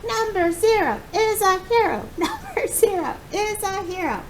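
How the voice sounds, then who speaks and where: Woman as an excitable, high-voiced cheering monster teammate. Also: unhurried, exaggerated voice, rough recording. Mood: jubilant. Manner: exuberant shouting. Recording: clear background noise with rumble.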